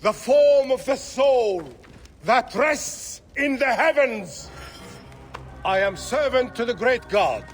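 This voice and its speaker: In a long reverberant space, a mystical Egyptian seer, a man with a high voice. He intones very slowly, grand and reverent, like a priest in a game's ritual scene. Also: animated, heavily acted. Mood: solemn incantation. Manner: incantatory, grandly solemn. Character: mystical male seer chanting ancient rituals, commanding and reverent. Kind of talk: dramatic video game acting. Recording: long reverberant hall, faint music behind.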